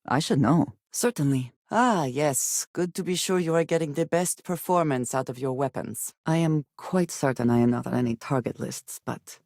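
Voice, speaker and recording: A woman's voice: rumbling low, a little mellow, no room sound, full character voice. Guarded, formal space adventurer woman, very dry sound. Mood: measured, candid. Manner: formal, measured diction without contractions. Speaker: video game voice actress. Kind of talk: scripted video game character dialogue. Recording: very dry, close studio recording.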